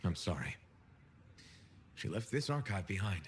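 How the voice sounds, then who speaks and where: An actor playing a wry, steady freelance pilot hero in a video game, talking in an understated, dry way. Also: male voice, low-pitched, a little slow, a little hiss or hum. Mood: earnest, wry. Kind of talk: conversational video game voice acting.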